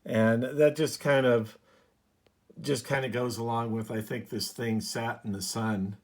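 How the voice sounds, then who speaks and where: Slow-talking man, casual repair walkthrough. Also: mid-range voice, steady tone. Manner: unhurried, halting, thinking aloud. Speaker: hobbyist presenter of a radio repair video. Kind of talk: casual unscripted show-and-tell commentary.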